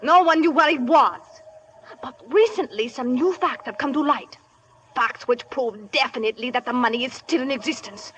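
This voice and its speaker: A melodramatic heiress in an old radio comedy-mystery. Her voice is high and theatrical, formally phrased and full of fearful urgency, over clearly audible recording noise. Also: moderate pace, fairly bright tone. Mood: intense, possessive. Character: melodramatic, desperate heiress, fearful and fiercely possessive. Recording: noisy old radio broadcast.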